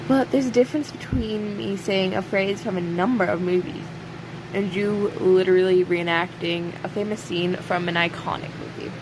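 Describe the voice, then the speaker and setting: A woman playing a snarky, cocky imprisoned serial killer in an audio roleplay, sarcastic and teasing, with pointed pauses. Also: mid-range voice, close to an everyday voice. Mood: dry, impatient. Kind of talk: audio roleplay acting, addressing an unheard listener. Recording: steady clear background hiss or hum.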